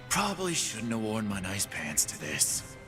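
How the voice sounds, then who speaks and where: An actor voicing a cocky, brawling male fight-pit boss in game voice lines, full of gruff, boastful trash talk, with game music behind. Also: fairly low pitch, full character voice.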